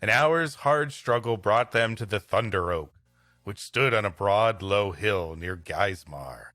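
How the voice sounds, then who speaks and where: A man's voice: on the low side, expressive, measured pace, pushed and bright, dry recording. A man hosting a comedy podcast, reading an old story aloud expressively and breaking off for banter. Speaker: podcast host and story reader.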